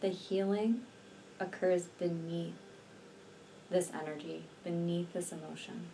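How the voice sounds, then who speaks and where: Mellow-voiced woman guiding a meditation. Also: fairly low pitch. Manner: slow, soothing, deliberate with long pauses. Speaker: energy healer and meditation guide. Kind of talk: guided meditation, channeled healing session. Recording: distant mic, faint background noise.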